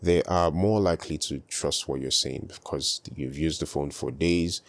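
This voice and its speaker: Very deep, soft-spoken young man. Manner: soft-spoken, calm and unhurried. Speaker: video creator. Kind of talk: casual spoken tutorial.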